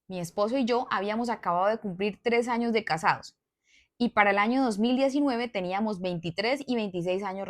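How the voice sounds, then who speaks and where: A woman storyteller reading a follower's submitted story aloud, expressive and breaking off for chatty asides to her followers. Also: medium pitch.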